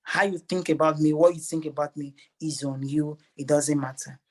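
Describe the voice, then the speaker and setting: A man's voice: fairly high pitch, steady tone, normal speed. Earnest Nigerian man, motivational speaker. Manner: earnest, emphatic, repeats phrases for effect. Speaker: interview guest, motivational speaker. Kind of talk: motivational interview answer.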